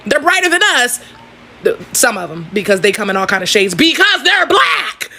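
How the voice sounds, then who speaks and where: A speaker giving an animated casual vlog rant with emphatic bursts. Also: mid-range voice, moderate pace, creaky, a little hiss or hum.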